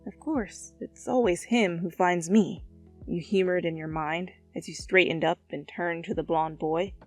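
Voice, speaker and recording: A woman reading a story aloud as narrator, with expressive second-person narration. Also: fairly low pitch, unhurried. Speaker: narrator, fan fiction reader. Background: background music.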